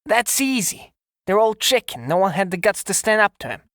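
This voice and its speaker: A woman's voice: mid-range voice, sing-song, normal speed, fairly bright tone, no echo, studio quality. A woman voicing an eager, cheeky young boy from a street gang in a comic dub, brash and slangy. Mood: keen, curt. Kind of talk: comic dub character acting.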